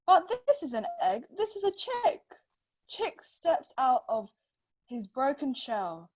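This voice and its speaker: A woman with a high voice takes her time as she speaks to a young learner. Her style moves between casual tutoring chat and children's storybook reading, at a slow pace. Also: sing-song, a little mellow.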